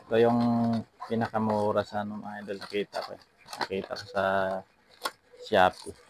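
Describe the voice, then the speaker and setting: A man's voice: lowish voice, level tone, normal speed, gravelly. A man with a Filipino accent hosting a casual unboxing vlog, speaking to viewers and often repeating his phrases. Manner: repeats phrases and address tags. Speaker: vlog host. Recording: some background noise.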